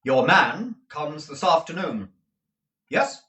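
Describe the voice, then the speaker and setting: A man voicing a stern, blustering middle-aged male lawyer who talks in a booming, domineering way. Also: sing-song, takes it slowly, fairly bright tone, exaggerated voice. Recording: roomy audio from a distant mic.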